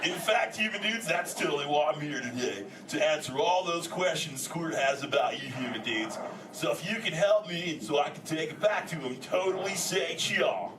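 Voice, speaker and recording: A man playing a laid-back but excitable male sea turtle who drawls surfer slang while bantering with a live audience as host. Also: on the high side, normal speed, distant mic, lightly acted. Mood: exuberant. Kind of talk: improvised comic audience banter. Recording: echoey, noisy hall, voice from afar.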